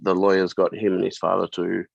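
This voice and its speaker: A man's voice: low-pitched, monotone, fairly quick, creaky, no room sound. An Australian man from Tasmania, talking plainly about his life as a guest in a casual interview. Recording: slightly dull, lo-fi audio.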